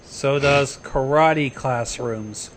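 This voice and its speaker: A man's voice: medium pitch, drawn-out words, a little hiss or hum. A man making short casual remarks as a guest on a livestream. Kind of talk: casual banter.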